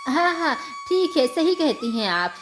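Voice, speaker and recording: A woman's voice: high-pitched, huge pitch swings, measured pace, exaggerated voice. A woman voicing a haughty, impatient, envious younger woman in a cartoon moral story, with a whiny manner. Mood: curious, scheming. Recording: music behind the voice.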